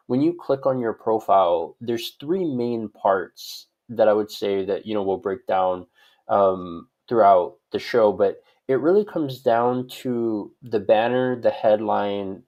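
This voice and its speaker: A man's voice: medium pitch, warm tone. A man from Hawaii answering conversationally as an expert guest in an interview, rambling and often adding "like" and "right?". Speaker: guest expert on social media profiles.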